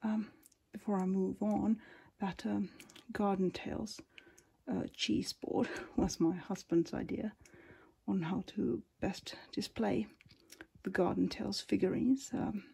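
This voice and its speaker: Husky whispery Finnish woman. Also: mid-range voice, fairly even intonation. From Finland. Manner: soft, often whispery, unhurried, many ums. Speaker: collector giving a tour. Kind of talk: casual narrated collection tour. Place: indoors at display cabinets at home.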